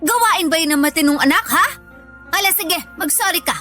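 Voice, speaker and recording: High-voiced, long-suffering mother. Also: animated, brisk, sharp tone, soft background music, heavily acted. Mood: scolding, weary. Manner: pleading, plaintive. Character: long-suffering, sickly working mother, pleading with her child. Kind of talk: Tagalog radio drama acting.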